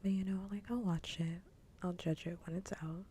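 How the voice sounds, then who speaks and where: A woman speaking softly and breathily, often whispering, in a casual ASMR livestream chat close to the microphone. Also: on the low side, steady tone, a little hiss or hum. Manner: hushed and unhurried. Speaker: VTuber streamer.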